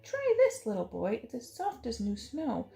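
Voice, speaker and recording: Lady doing a kindly peasant guy sweet-talking a child, sing-song, highish, storybook. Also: wildly swooping pitch, normal speed, gravelly, dark tone, roomy sound, faint music behind, full character voice. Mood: coaxing. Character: kindly peasant man coaxing a little boy.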